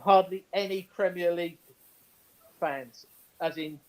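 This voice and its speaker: Male sports panellist, high-pitched voice, casual and jokey banter. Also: steady tone, measured pace.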